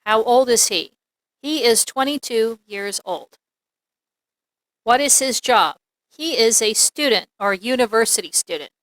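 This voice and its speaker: A woman gives an English lesson in a bright, fairly high voice. She reads model sentences aloud deliberately, articulating each word for learners. The recording is close and dry. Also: no echo, poor audio. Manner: deliberate, clearly enunciated, repetitive drilling. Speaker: English teacher. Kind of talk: slow instructional English lesson, reading examples aloud.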